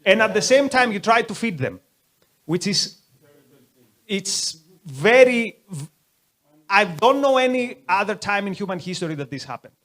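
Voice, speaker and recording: A man's voice: sits high, very animated, normal speed, pauses often, a bit bright. A man leading a conversational lecture, taking questions from the audience in a museum hall. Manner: conversational, uses many "like" asides.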